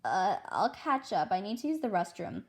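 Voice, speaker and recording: Storybook schoolgirl, read by a woman: anxious and self-conscious, stumbling over words, with swooping, expressive pitch. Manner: halting, stammering, dramatic. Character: anxious, heartbroken seventh-grade girl, chatty and self-conscious.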